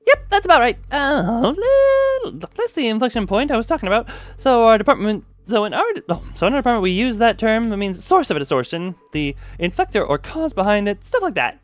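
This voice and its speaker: A man's voice: extremely high pitch, sing-song, brisk, few pauses, soft background music, voice pushed up. A man voicing a perky, bubbly female specialist from a game, chatty and breezy as she explains. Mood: bubbly, explaining.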